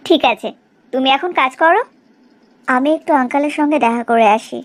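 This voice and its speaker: A woman's voice: sits high, fairly quick. A woman playing a confident, coaxing young bride-to-be in a cartoon drama. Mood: composed, coaxing. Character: bold, teasing, scheming young bride-to-be.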